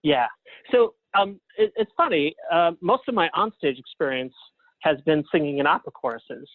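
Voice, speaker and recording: A man being interviewed as a guest over a phone line, talking casually and a little haltingly, with a self-effacing manner. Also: fairly high pitch, expressive, no echo. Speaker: interview guest, playwright and librettist. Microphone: phone or call line. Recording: thin phone-line sound.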